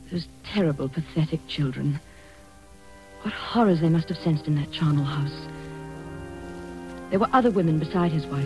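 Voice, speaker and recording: An actress playing a frightened young governess trapped by a killer, breathless and pleading, in a 1940s radio drama. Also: female voice, on the low side, dull audio, close to an everyday voice.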